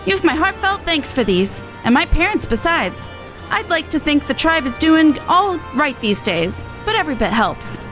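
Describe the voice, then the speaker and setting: An earnest young tribeswoman, read by a woman for a fantasy game, speaks in a high, expressive voice. Her dutiful, resistance-veteran character comes through in animated delivery, with music playing behind. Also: normal speed. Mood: grateful, upbeat. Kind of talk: video game dialogue read aloud.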